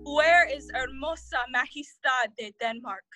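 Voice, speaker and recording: A woman's voice: sits high, unhurried. A woman playing a fragile young noblewoman distracted by grief, with a dreamy, erratic manner, in a classical audio play. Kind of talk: classical audio play acting, singing over guitar. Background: plucked guitar music.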